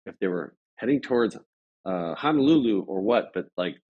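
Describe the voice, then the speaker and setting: An American man from Chicago telling stories casually as an interview guest, halting and rambling with lots of filler words. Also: on the low side, expressive, husky. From Chicago, United States. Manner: halting, rambling, frequent "like" and "you know". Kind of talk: casual conversational storytelling. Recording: dull lo-fi audio.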